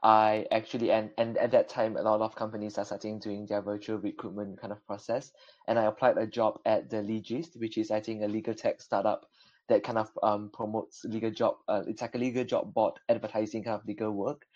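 A young man answers as a webinar panelist. His low voice hardly rises or falls, and he hedges often with filler phrases. The sound is dull and lo-fi. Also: little pitch movement, a little fast, a little mellow. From Malaysia. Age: young adult. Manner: hesitant, many fillers like "kind of". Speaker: panelist, final-year law student.

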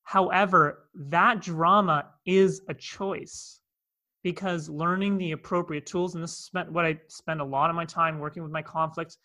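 A man's voice: fairly high pitch, steady tone, moderate pace, bright, forceful tone. A man with a Canadian accent, a coach telling a personal story in a podcast conversation, earnest, with many asides. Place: in Cape Town, South Africa.

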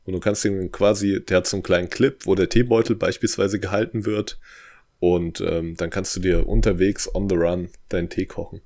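Podcast co-host, young man, low voice, tongue-in-cheek, relaxed conversational delivery. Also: moderate pace. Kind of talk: casual podcast chat.

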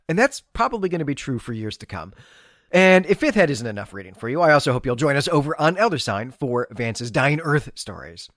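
Animated man hosting a book podcast. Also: on the high side, dry recording, studio quality. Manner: enthusiastic, emphatic, gushing. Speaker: podcast host and book reviewer. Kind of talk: solo podcast book review, conversational.

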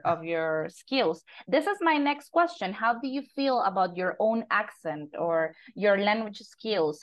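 A woman's voice: medium pitch, dull audio. Spanish-accented female host, encouraging, interview tone. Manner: encouraging, asks questions. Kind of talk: casual interview.

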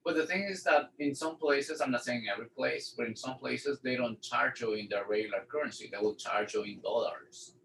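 A man's voice: mid-range voice, brisk, vocal fry, few pauses, a little hiss or hum. Ecuadorian man chatting in an echoey room. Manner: animated, acts out quoted locals. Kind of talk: casual chat. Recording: echoey room, mic at a distance.

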